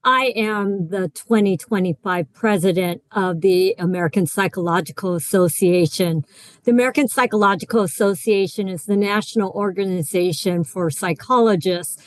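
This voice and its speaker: A woman psychologist giving a brisk, formal self-introduction on a virtual panel. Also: mid-range voice, no echo. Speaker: psychologist, association president.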